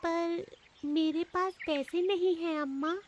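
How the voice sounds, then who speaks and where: Very high-pitched innocent little girl. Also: steady tone, takes it slowly, slight background noise. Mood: innocent, plaintive. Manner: childlike, plaintive, innocent. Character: innocent lost five-year-old schoolgirl. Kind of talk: cartoon moral story voice acting.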